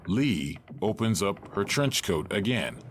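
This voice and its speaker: A man's voice: on the low side, big pitch swings, measured pace, fairly bright tone. A man narrating scripted stage directions for an audio drama, with faint noise behind him.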